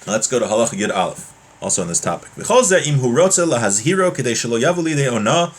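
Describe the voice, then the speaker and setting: A man's voice: mid-range voice, very animated, fast, slightly rough. A man teaching a religious class informally, reading from a text and explaining it conversationally with everyday examples.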